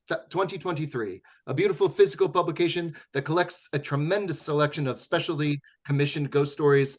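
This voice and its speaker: A man with a somewhat high voice acts as host and announcer of a radio theatre show. He reads from a script in a measured, formal manner with a wry touch.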